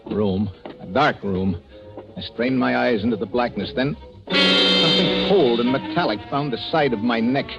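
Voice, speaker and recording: A man's voice: mid-range voice, sing-song, moderate pace, vocal fry. An actor playing a desperate, hard-boiled American man on the run, speaking in clipped 1940s radio noir style. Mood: tense, narrating. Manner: clipped, tense first-person storytelling. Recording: muffled old radio recording, music behind.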